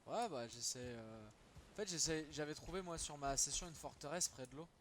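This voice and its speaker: A man's voice: a little high, lively intonation, moderate pace, a bit bright, a little hiss or hum. A young man streaming a game, chatting casually and swearing freely in slangy talk. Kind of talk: casual gaming chat.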